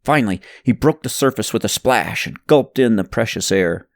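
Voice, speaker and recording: Animated male audiobook storyteller with dramatic delivery. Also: lowish voice, normal speed, vocal fry, no echo, clean studio sound. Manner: expressive, suspenseful storytelling. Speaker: audiobook narrator reading own novel. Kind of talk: dramatic scripted story narration.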